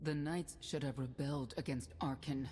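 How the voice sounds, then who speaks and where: A woman's voice: a bass voice, fairly even intonation, moderate pace. An actress playing a stern, disgraced woman knight who speaks firmly and with clipped honor. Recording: game audio, reverberant, with hiss.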